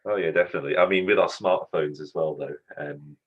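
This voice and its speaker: Very deep, husky male event host. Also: fairly even intonation, brisk, highs cut off. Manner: hesitant, many ums and restarts, friendly. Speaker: student society host. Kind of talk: hosting an online society event.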